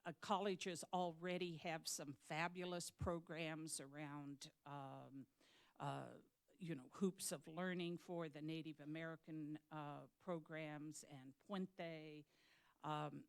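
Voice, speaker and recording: Low-voiced woman, measured with fillers. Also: clean tone. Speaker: board candidate. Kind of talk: candidate forum answers.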